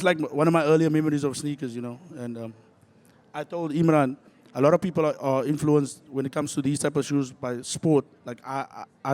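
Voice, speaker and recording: Relaxed South African man reminiscing. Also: mid-range voice. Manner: relaxed, many fillers, laughs easily. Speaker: panel guest. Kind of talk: casual reminiscing on a panel.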